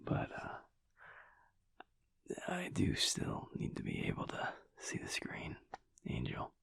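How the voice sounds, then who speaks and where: A man playing a teasing, affectionate werewolf husband in an intimate audio roleplay, murmuring in a breathy, gravelly, hushed drawl. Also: in a whisper. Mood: teasing, tender. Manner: slow, hushed, wry drawl with pauses.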